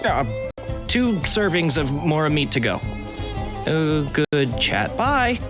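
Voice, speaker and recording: A man's voice: fairly high pitch, big pitch swings, slow pace, music in the background. A man playing a bashful, chatty restaurant customer in a video game, hesitant in his delivery. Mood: awkward.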